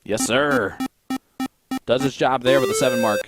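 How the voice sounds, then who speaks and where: A man's voice: medium pitch, fairly even intonation, moderate pace, over music. A man with an Indiana accent calling a darts match play by play, joking and teasing his co-commentator. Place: commentary desk at a darts tournament.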